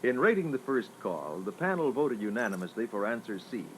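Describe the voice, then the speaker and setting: A man narrating an instructional training record in a formal, measured, scripted style. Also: medium pitch, big pitch swings, fairly bright tone. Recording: noisy old reel-to-reel tape.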